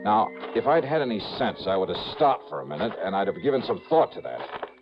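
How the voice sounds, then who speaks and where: Low-voiced hard-boiled investigator. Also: male voice, big pitch swings, a little fast. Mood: tense, wry. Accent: American. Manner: clipped, dramatic, wry. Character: hard-boiled, wry male insurance investigator narrating his case. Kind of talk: 1950s noir radio drama narration. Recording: muffled old radio recording, music underneath.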